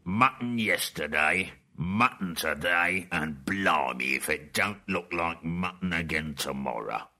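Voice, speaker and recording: A man voicing a huge, coarse male troll with a slow, gruff manner in an audiobook. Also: low voice, pushed and bright, full character voice. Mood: grumbling. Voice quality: gruff, slow, rough dialect. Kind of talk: audiobook character voice.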